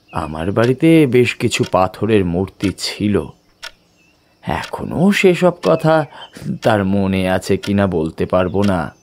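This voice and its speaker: A man playing a polite, hesitant client in an audio detective drama, speaking deferentially and haltingly. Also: lowish voice, a little mellow, a little hiss or hum, exaggerated voice.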